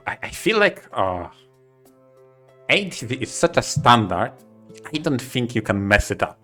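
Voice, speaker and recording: A man with a medium-pitched voice talks casually as a podcast co-host. He works through his opinions haltingly, doubling back on words. Music plays softly behind him. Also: sing-song.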